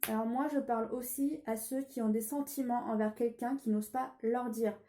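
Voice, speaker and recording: A woman's voice: a little high, fairly even intonation, moderate pace, mellow, roomy sound. French-speaking woman reading tarot, with a cold. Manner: hesitant, many fillers and restarts. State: has a cold. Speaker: tarot card reader. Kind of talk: casual tarot reading vlog.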